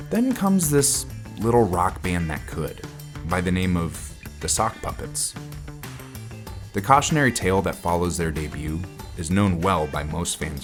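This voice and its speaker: An actor playing an earnest male rock podcast host, narrating in a scripted documentary style with dramatic, deliberate phrasing. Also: sits low.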